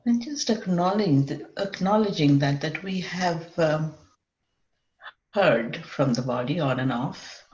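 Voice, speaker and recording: Female meditation guide, measured and unhurried with long mid-sentence pauses, slightly dull lo-fi sound in a roomy space. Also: deep voice, animated, darkish tone. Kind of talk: guided meditation, semi-improvised. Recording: dull lo-fi, echoey room.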